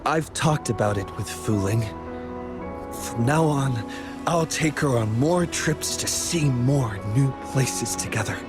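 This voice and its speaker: An actor playing an earnest, quietly devoted adventurer in a video game, sincere and subdued. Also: male voice, mid-range voice, animated, slow pace, over music. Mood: reflective, resigned.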